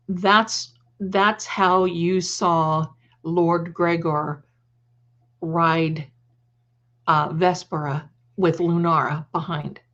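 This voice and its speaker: A woman's voice: fairly low pitch, fairly bright tone. Woman on a livestream chatting away, gushy and affectionate, repeats herself, kinda dull lo-fi sound. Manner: effusive, affectionate endearments to viewers; rambling, repeats phrases for emphasis. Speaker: livestream host and creator. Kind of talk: casual live chat with viewers.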